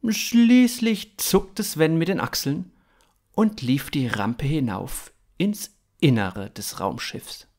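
A man in his thirties narrating scripted fiction in a dramatic, expressive way. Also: a little high, slow.

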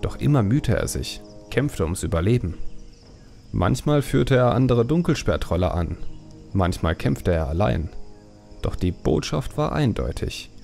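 A man narrates a fantasy audiobook in a dramatic, scripted style. His voice is low and creaky. Faint music plays behind him. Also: big pitch swings, a little slow, a little mellow.

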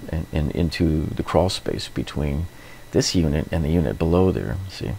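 Middle aged guy doing a diy how-to, deep gravelly voice, casual explaining, bit of background noise. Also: expressive, moderate pace, mellow. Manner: informal, explanatory, repetitive. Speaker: DIY renovation host. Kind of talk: hands-on tutorial narration.

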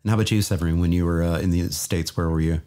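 Upbeat middle-aged guy hosting a podcast, low voice, enthusiastic. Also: fairly even intonation, very fast, mellow. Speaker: podcast host. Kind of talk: podcast interview.

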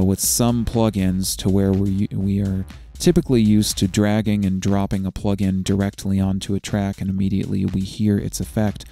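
A man with a low, creaky voice walks through a software tutorial step by step. His tone is mellow and his delivery is methodical and explanatory. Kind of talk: step-by-step screencast tutorial narration.

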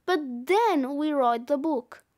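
Earnest, easily indignant kid hero for a children's audiobook, voiced by a woman, high and plain-spoken. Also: lively intonation, takes it slowly, darkish tone. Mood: concerned. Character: young boy narrator's friend Andy, earnest and indignant.

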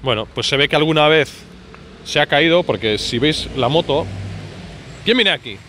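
A man's voice: a little high, lively intonation, normal speed. A man, giving chatty, colloquial vlog commentary outdoors. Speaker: motorcycle vlogger. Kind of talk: casual off-the-cuff vlog commentary. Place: outdoors in a street parking area. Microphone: handheld phone or camera, speaker behind it. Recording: noisy outdoor urban street.

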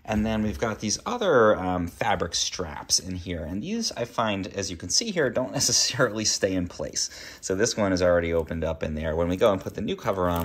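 A man hosting a casual how-to video at home, explaining each step in a relaxed way while handling objects in a kids' playroom, filming with a phone. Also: low-pitched, noisy background. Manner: relaxed and explanatory, with fillers and small laughs. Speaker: DIY video host.

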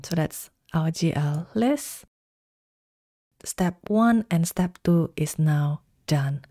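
A woman giving calm, step-by-step instructions in a screen-recorded software tutorial. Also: low-pitched, dry recording, studio quality. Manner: calm step-by-step instructions, slow deliberate pacing.

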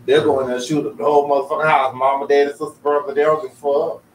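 A man's voice: a little high, level tone, rapid-fire, recorded from a distance. A man from Florida co-hosting a talk show, chatting bluntly in casual slang with lots of swearing. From Jacksonville, Florida, USA. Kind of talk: casual profane chat. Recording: roomy, off-mic, noisy background.

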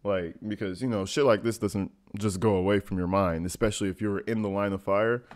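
Low-pitched young American man, slangy. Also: fairly even intonation, brisk. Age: young adult. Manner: slangy, profane, conversational. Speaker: reaction video host. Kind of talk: casual reaction commentary. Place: small indoor home room, gaming chair at desk.

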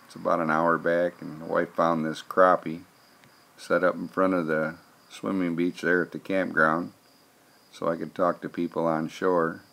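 A man's voice: sits low, flat delivery, moderate pace, gravelly, fairly bright tone. A man, narrating casually in a plainspoken, folksy way. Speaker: vlogger narrating fishing trip.

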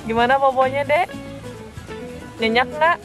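A woman's voice: sits high, a little slow, over music. A woman filming her family on a camping trip, chatting casually and laughing often into a handheld phone. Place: tent and campsite outdoors.

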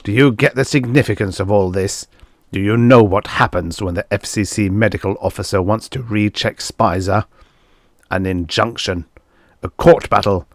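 A man's voice: fairly low pitch, normal speed, no echo, clean studio sound, natural voice. A man voicing a grim, domineering show producer who speaks in a harsh, solemn, coldly calculating way in a story reading.

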